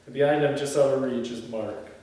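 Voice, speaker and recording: A man's voice: medium pitch, fairly quick, mellow. A man at a table read, reading screenplay directions and chatting casually with the group. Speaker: script reader at a film table read. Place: echoey indoor room. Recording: very echoey room, far from mic.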